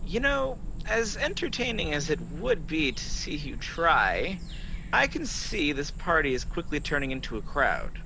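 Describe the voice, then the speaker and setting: A man's voice: fairly high pitch, very animated, a little slow, bright, forceful tone, full character voice. A man playing a sly, mocking, boastful fallen angel in a radio play, sardonic and theatrical, on a noisy home recording. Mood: bored, mocking. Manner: smooth-talking, sardonic, theatrical.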